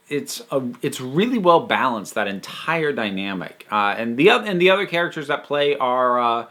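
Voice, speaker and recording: Man, bright forceful voice, review host, casual, unscripted, emphatic, halting and digressive. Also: mid-range voice. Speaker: host reviewing audio dramas.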